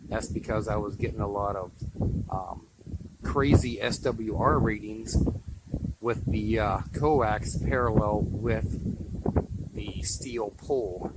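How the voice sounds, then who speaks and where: A man's voice: fairly low pitch, takes it slowly. American man, noisy amateur recording. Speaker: hobbyist video host. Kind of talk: casual show-and-tell narration.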